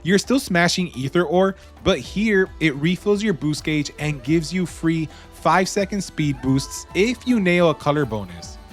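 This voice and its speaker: A man hosts a gaming video in a fairly high-pitched voice. He talks in a casual, conversational way with slang and dry humour, over soft background music. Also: expressive, measured pace, few pauses. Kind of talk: casual game review voice-over, part scripted, part off the cuff.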